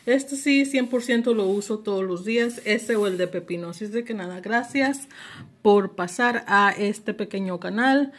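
A woman's voice: mid-range voice, moderate pace. A woman giving a casual product haul review, mixing English words into her speech. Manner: mixes English words into Spanish. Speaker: vlogger. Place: indoors at a table at home.

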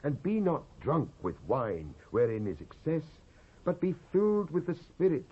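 A British man giving a solemn, emphatic, oratorical dramatised reading of King James scripture, with a husky voice, on an old cassette recording. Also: a little high, huge pitch swings, normal speed. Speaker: scripture narrator. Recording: dull lo-fi cassette transfer.

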